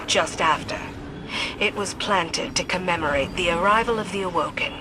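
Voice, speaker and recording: A woman's voice: lowish voice, moderate pace, over music, natural voice. A woman playing a resolute, guarded military guide in a video game, speaking in a terse, grave, authoritative way. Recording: noisy ambient background.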